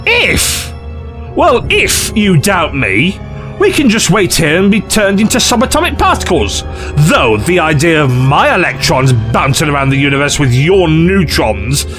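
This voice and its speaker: A man's voice: fairly high pitch, sing-song, a little slow. Guy doing a glib eccentric space trickster, audio drama, voice jumps all over, condescending and chatty, music under it. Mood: smug, indignant. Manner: flippant, theatrical, chatty, condescending. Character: glib, eccentric, cheeky alien time-travelling trickster.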